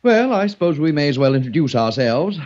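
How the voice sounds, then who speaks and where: An actor playing a bitter, mocking husband in a 1940s radio drama, with a cutting, sneering manner. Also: male voice, on the high side, sing-song, a little fast, darkish tone. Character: bitter, mocking married man, jealous cousin. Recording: muffled old radio broadcast.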